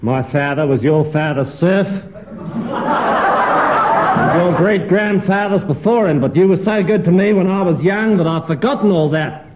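A man's voice: high-pitched, normal speed, dull audio, background noise, pitched below the performer's own voice, lightly acted. A man acting a blunt former serf in a mock stage play, hurrying through the lines while breaking into laughter. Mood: hurried, amused.

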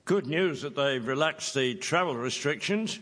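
Slow playful man in a live hall. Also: on the high side, animated. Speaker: panellist. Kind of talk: comedy panel banter. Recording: live hall with loud audience.

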